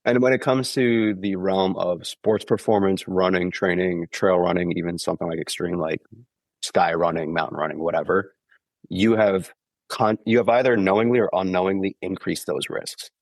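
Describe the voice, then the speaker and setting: A man with a very low voice, congested and sniffly after the flu, talks through running injuries at an easy, patient pace. He keeps checking in with "okay?" and fills his sentences with "like". The sound is dry and close to the mic. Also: steady tone, moderate pace, dry recording. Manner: explains patiently, with many "like" and "okay?" check-ins. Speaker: podcast guest, running injury expert. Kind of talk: casual explanatory podcast talk.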